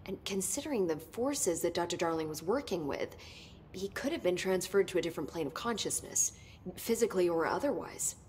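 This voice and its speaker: An actress playing an earnest, sharp-witted research scientist in a video game, articulate and clinical in her wording. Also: lowish voice, expressive, brisk, slight background noise, natural voice. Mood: earnest, analytical. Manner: articulate, clinical wording, emphatic when stirred. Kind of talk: naturalistic video game acting.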